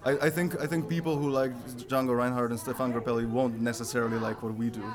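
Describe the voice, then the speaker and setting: A male violinist answers an interviewer thoughtfully, often restarting phrases. His voice sits at a middle pitch. A busy crowd chatters loudly around him. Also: level tone, fast. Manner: thoughtful, repeats himself. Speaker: violinist in a band. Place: music venue.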